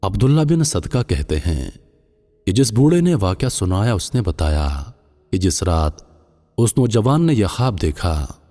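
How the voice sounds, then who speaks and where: Deep, solemn male storyteller in long reverb. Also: big pitch swings, warm tone. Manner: solemn, deliberate. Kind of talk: scripted religious moral-story narration. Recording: long reverb, music underneath. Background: music.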